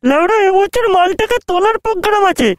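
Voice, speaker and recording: Super high squeaky cartoon schoolboy, cheeky n crude, griping, music behind. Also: animated, brisk. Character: cheeky, foul-mouthed teenage schoolboy in a cartoon. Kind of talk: comic cartoon dialogue. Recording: music under the voice.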